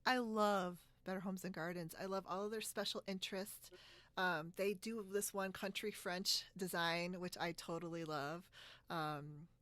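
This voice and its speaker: A woman's voice: fairly low pitch, steady tone, unhurried, a bit bright, dry recording. Chatty woman podcast host in a dry recording. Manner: chatty, laughs often. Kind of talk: casual video podcast chat. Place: split-screen video call, recorded dry.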